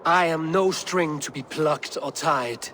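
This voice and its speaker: An actor playing a proud, brooding ruler of the gods with a grand, commanding manner in a game cutscene. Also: male voice, on the high side, slow, a bit bright, slight background noise. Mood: defiant. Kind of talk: dramatic video game cutscene acting.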